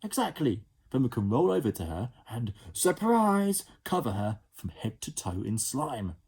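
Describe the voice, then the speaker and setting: A man voicing a cheeky, mischievous young boy, eager and excitable, who breaks into shouts. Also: medium pitch, unhurried, breathy edge, voice pushed up, heavily acted. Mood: scheming.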